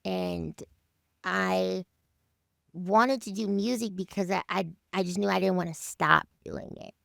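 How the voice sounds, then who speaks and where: A woman talks casually as an interview guest. Her voice is on the low side and thick with gravelly vocal fry, recorded close and dry. She tells stories in an animated way, acting out imagined quotes. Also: unhurried, no room sound. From Harlem, New York, USA. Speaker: musician guest on a radio show.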